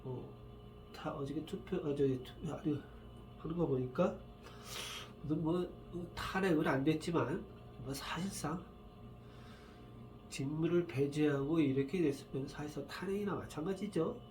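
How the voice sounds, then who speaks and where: A man with a cold and a roughened voice, tired from just waking up, talking in a rambling, halting way with many fillers as he gives fortune readings in a casual solo video. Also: fairly high pitch, a little mellow, a little hiss or hum. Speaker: saju fortune reader.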